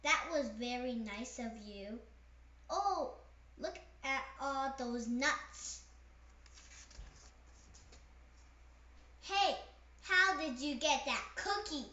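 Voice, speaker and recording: Casting: woman as a jealous storybook pigeon, dramatic and whiny, very high, roomy sound. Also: lively intonation, takes it slowly, pushed and bright, full character voice. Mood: surprised, curious. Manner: dramatic, indignant, whiny ranting. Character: excitable, jealous, pleading pigeon from a picture book. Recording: roomy echo, slightly dull sound.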